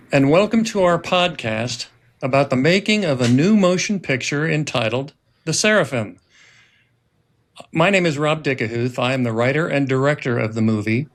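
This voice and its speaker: A man presenting a scripted podcast introduction as host and writer-director, formal and measured. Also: mid-range voice.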